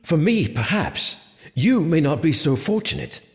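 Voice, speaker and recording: An actor playing a stern, dutiful medieval sheriff in an audio drama, with a formal, firm manner. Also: male voice, fairly high pitch, very animated, measured pace. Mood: stern, heated. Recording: echoey hall reverb with music.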